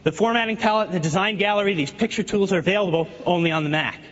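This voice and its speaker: A man giving a live software demo on a keynote stage, eager and restarting words as he goes. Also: high-pitched, fast talker. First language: not French. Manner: eager, repeats words while demoing. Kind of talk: live product demo presentation. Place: large conference hall. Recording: lo-fi hall recording with crowd.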